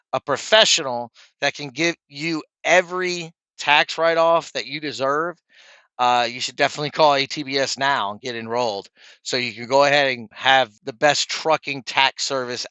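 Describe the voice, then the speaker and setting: A man's voice: mid-range voice, sharp tone, clean tone, no echo, rough recording. A middle-aged man telling stories and giving advice to viewers in a casual, chummy vlog style, as a trucker presenting to his peers. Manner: chummy, addresses viewers as guys.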